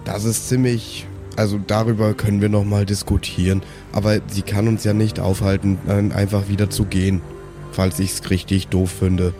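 A man's voice: lowish voice, level tone, moderate pace. A man playing a tabletop role-play game in casual, improvised in-character chat. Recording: background music under the voice.

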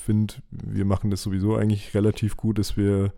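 Low, mellow man chatting casually. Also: monotone, a little fast, vocal fry, no room sound, clean studio sound. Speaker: podcast co-host. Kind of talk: casual chat.

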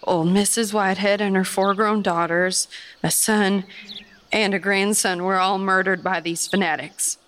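A woman playing an earnest, devout 1830s Virginia gentlewoman, giving formal, measured testimony in a historical drama. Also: mid-range voice, fairly even intonation, a little hiss or hum, lightly acted. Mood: grave. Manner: formal, measured storytelling, firm.

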